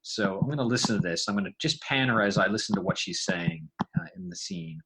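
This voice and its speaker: A man giving an informal tutorial, talking haltingly and thinking aloud as he demonstrates his work. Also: fairly low pitch, animated, creaky, a bit bright, a little hiss or hum, poor audio. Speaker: podcast creator demonstrating sound design.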